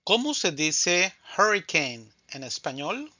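Male language teacher running a slow drill, bright tone, dull lo-fi dry recording. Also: sits high, big pitch swings, no room sound. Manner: slow, deliberate, says each answer twice. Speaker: Spanish teacher. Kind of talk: scripted vocabulary drill.

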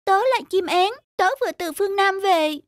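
Frail, very high-pitched little swallow. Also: female voice, moderate pace, no echo. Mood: weak, plaintive. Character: small, frail, freezing young swallow. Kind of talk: children's cartoon dubbing.

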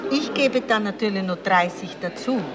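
Actress as a proud dog owner, voices behind. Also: female voice, medium pitch, normal speed, over a noisy crowd, lightly acted. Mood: haggling. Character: doting, proud, haggling female dog owner. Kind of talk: German radio crime comedy acting, 1970s. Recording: 1977 radio play, voices behind.

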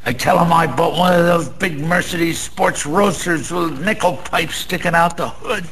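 A man's voice: a little high, a little slow, a bit bright. An actor playing a bitter, sentimental, down-on-his-luck American small-time gambler in a radio drama, talking in rambling, world-weary slang. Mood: bitter, mournful. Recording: faint background noise.

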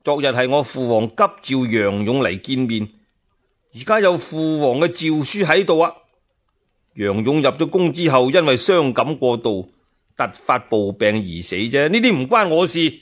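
A man's voice: mid-range voice, lively intonation, moderate pace, close to an everyday voice. A man voicing a guilty, blustering young emperor in a radio storytelling drama, haughty, defensive and evasive. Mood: defensive, dismissive. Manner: evasive, curt denials.

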